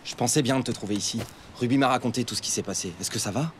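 A man voicing a kind, reassuring young male pony in a French cartoon dub, gentle and encouraging. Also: mid-range voice, rapid-fire, background noise, close to an everyday voice. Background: music.

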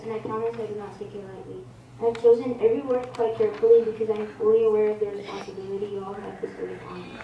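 A woman's voice: mid-range voice, heavy reverb, highs cut off, slight background noise. A woman giving a formal, carefully worded graduation speech outdoors, recorded by a camera from a distance. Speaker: graduation speaker.

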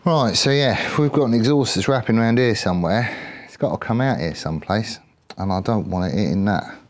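A man with an English accent giving casual, off-the-cuff workshop commentary as a motorcycle builder, chatty and colloquial, thinking out loud. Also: lowish voice, expressive, fairly quick.

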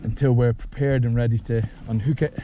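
A man with a Scottish accent, chatting excitedly and swearing while fishing with a friend on a rocky sea shore, recorded on a camera mic. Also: medium pitch, brisk, a little hiss or hum. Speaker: fishing vlogger. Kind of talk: excited casual chat outdoors.

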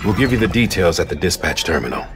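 An actor playing a calm, measured commander giving a solemn briefing in a video game cutscene. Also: male voice, low-pitched, background noise. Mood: measured, instructive. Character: calm, masked male commander briefing a courier.

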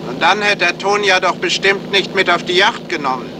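An actor playing a calm, measured man, in the style of a 1970s radio crime play. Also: natural voice. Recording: loud rumbling motorboat noise.

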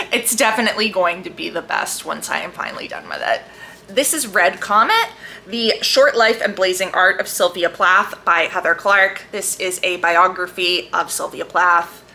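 A woman book vlogger chatting casually and enthusiastically to camera, leaning hard on emphatic, drawn-out words. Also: medium pitch, bright, forceful tone, slight background noise. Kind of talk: casual chat to camera about books.

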